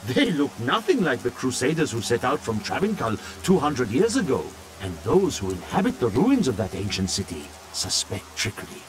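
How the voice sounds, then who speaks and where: An actor playing a learned scholar-chronicler, reading his journal as grave, intrigued, deliberate dramatic narration for a fantasy video game. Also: mid-range voice, big pitch swings, noisy background, close to an everyday voice. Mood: mysterious. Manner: theatrical, deliberate, storytelling delivery.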